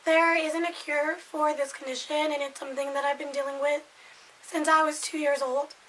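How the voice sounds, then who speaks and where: A young woman in her twenties talks casually to camera in a raspy, hoarse voice that sits fairly high. She rambles a little, circling back and repeating herself as she goes. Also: level tone, moderate pace, sharp tone, a little hiss or hum, rough recording. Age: 20s. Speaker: vlogger.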